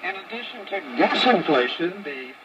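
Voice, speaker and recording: A man's voice: high voice, very animated, moderate pace, dull audio. A man hosting a radio commentary program, with a firm announcer delivery. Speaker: radio talk show host. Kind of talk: broadcast commentary. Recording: noisy shortwave radio broadcast.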